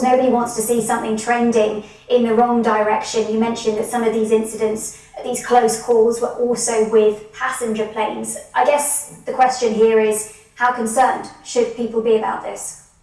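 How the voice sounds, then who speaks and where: A woman's voice: fairly high pitch, darkish tone. A woman anchoring a news broadcast in a formal, polished manner, reading scripted news and conducting interviews. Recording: very echoey, distant from the mic.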